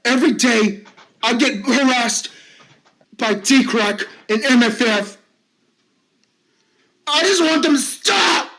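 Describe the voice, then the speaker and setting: A man's voice: very high voice, slow, long pauses, pitched above the performer's own voice, exaggerated voice, poor audio. A man doing a shrieking tearful middle-aged man. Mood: distraught. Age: 50s. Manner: shouting, voice cracking into sobs. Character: bullied fifty-year-old man, desperate and tearful. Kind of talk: amateur drama acting.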